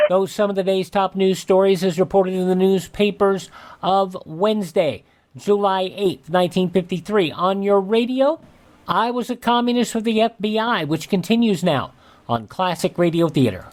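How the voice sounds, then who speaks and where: A man with an American accent reading scripted news and show introductions as a radio host, in measured announcer phrasing. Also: high voice, a little hiss or hum.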